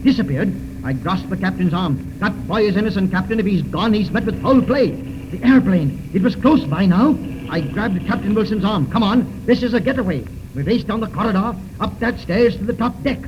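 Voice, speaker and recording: A man's voice: sits high, lively intonation, a little fast. An actor playing a tense, resolute detective who narrates his case theatrically in a 1930s radio mystery serial. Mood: alarmed, commanding, then urgent, excited. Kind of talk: 1930s radio drama first-person narration. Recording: muffled, noisy 1930s radio recording.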